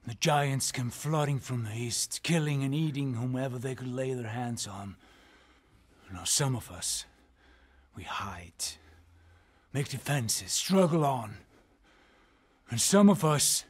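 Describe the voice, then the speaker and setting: A man voicing a grave, weary Norse guide in a video game, solemn and portentous, with a husky, breathy voice. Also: medium pitch. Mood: grim. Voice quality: husky, breathy, often dropping to a whisper. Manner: solemn, deliberate, portentous delivery. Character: grave, weary male seer and guide of a haunted Norse land. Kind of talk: dramatic video game dialogue.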